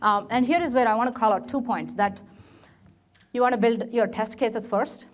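A woman's voice: fairly high pitch, moderate pace. Woman with an Indian accent giving a talk. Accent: Indian English. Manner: frequent fillers and restarts, gestures at slides. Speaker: conference speaker. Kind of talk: technical presentation. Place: lecture room, presenting in front of a projected slide.

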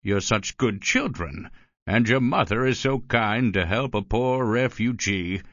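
A man voicing a gentle, polite old gentleman in a storybook reading, slow and courteous. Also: on the low side, expressive, no room sound, dull audio, exaggerated voice. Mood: kindly.

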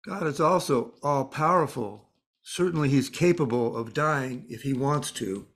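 An actor playing a world-weary spirit, blunt and cryptic, pronouncing grim truths matter-of-factly in a dramatised story. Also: male voice, mid-range voice, measured pace. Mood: scornful, solemn.

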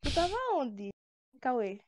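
A woman's voice: high-pitched, animated, slow, over a noisy crowd. A woman with a Brazilian accent chatting casually with friends during an online game. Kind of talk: casual gaming chat. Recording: noisy voice chat with clicks.

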